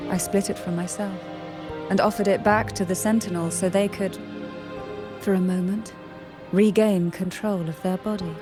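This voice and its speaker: A woman playing a resolute young cursed maiden warrior in a video game, gentle and gracious, speaking in a measured, earnest, formal way. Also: mid-range voice, steady tone, music in the background, natural voice. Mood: solemn, explanatory.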